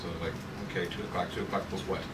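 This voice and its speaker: A man's voice: deep voice, flat delivery, very fast. A man chatting casually as a cast member at a table read. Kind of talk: casual chat. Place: room at a group table read. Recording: echoey, noisy room, distant mic.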